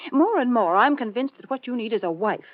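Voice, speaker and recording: A woman playing a prim, chatty, folksy homemaker pitchwoman in 1940s radio style. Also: animated, brisk, no echo, lightly acted. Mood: prim chiding. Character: chiding, wholesome homemaker pitchwoman. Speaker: commercial announcer and foil to the host. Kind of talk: 1940s radio advertising banter. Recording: dull 1940s radio recording.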